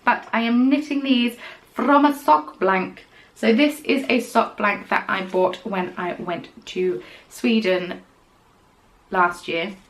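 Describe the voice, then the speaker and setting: A young woman hosting a podcast, chatting casually about her knitting in a rambling way and dropping into quiet, whispered asides. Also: medium pitch, slow pace, low-quality recording. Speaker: podcast host.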